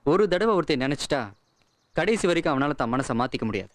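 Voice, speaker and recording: A man's voice: a little high, lively intonation, fast, natural voice. A man acting an earnest, devoted lover in dramatic film dialogue. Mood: earnest, pleading.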